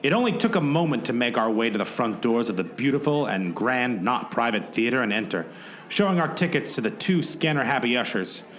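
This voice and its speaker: A man's voice: medium pitch, sing-song, a little fast, fairly bright tone. A man acting as the plucky narrator of his own mock-heroic mission in a radio play, with a theatrical, dramatic delivery. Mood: suspenseful storytelling. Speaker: narrator and lead actor. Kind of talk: first-person radio play narration with acted dialogue. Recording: music behind the voice. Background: music.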